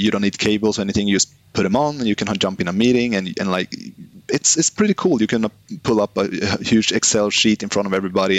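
Man, interview guest, low voice, halting restarts, dry close sound. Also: no room sound. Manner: halting, many restarts and repeated words. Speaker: guest, online business founder. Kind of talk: casual interview talk.

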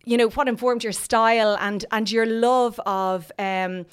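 A woman's voice: on the high side, steady tone, unhurried, smooth. Enthusiastic woman hosting. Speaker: podcast host. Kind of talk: casual chat.

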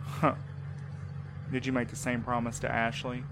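An actor playing a jaded, sarcastic mail driver in an audio drama, grumbling in a casual way. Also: male voice, mid-range voice, completely flat delivery, normal speed, vocal fry, background noise, natural voice. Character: sardonic, jaded male mail courier and driver. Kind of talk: full-cast audio drama acting.

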